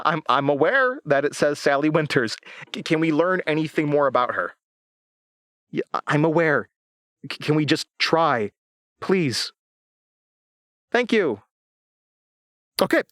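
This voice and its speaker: A man with an American accent playing a haunted young radio host in a horror audio drama, talking in a halting, nervous, rambling way. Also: on the high side, lively intonation, fairly bright tone, no echo, clean studio sound. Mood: weary, pleading. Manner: halting, stammering, conversational.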